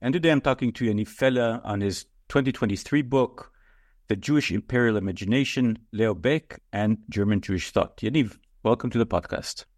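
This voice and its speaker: Man, podcast host, polite measured questions, dry close recording. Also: on the low side, a little mellow, no echo. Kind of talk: academic interview.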